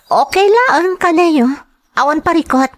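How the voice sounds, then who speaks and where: A woman's voice: very high-pitched, expressive, a little slow. A woman with an Ilocano accent playing a trusting, anxious young female rebel recruit in a radio drama. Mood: trusting, uneasy. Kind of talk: Filipino radio drama acting.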